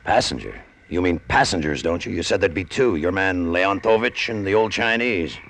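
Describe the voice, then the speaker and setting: A man's voice: low-pitched, lively intonation, normal speed, a bit bright. An American man playing a haunted, brooding cargo pilot and narrating his story in old-time radio drama style. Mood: wary. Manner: clipped, brooding, hard-boiled. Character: tense, haunted hard-boiled cargo pilot, greedy and brooding. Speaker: actor narrating in character. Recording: vintage radio drama with music underneath.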